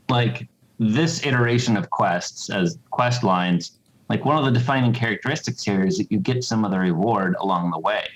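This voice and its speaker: A man giving casual interview answers as a game developer, explaining in a halting way. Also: on the low side, highs cut off.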